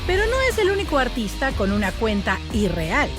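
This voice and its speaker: A woman's voice: on the high side, big pitch swings, brisk. A woman narrating a scripted explainer video as a voice-over. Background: music.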